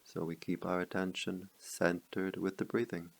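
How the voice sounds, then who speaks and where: A man guiding a meditation as a teacher, speaking calmly in measured short phrases with long pauses. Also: low voice, vocal fry. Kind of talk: guided meditation.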